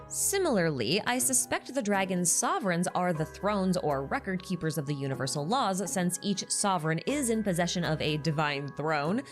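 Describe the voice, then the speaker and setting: A woman delivers scripted explainer narration. Her voice sits a little low, yet moves with a very lively melody. Music plays underneath. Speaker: lore video narrator.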